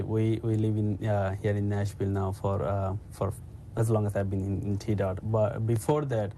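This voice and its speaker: A man's voice: lowish voice, creaky, mellow, slight background noise. A man from Bangladesh introducing himself in a hesitant way, restarting words and using fillers. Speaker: engineer. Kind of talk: unscripted self-introduction.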